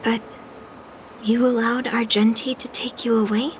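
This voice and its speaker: Woman as a high-voiced, earnest young swordswoman. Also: little pitch movement, background noise, lightly acted. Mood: questioning. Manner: earnest, thoughtful, measured. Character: young female sword-hunter, earnest, reflective. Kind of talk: dramatic game dubbing. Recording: music under the voice. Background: music.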